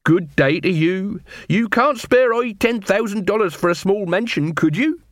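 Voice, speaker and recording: Man putting on a high, wheedling old beggar woman. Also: animated, moderate pace, no room sound, studio quality. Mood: cheeky. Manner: rustic, ungrammatical speech. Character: whining, wheedling old beggar queen, female, bossy.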